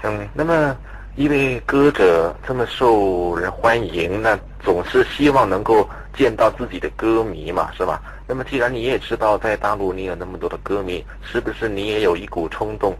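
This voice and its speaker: A man's voice: lowish voice, normal speed, breathy edge, poor audio. A man conducting a conversational radio-style interview, putting long, polite questions.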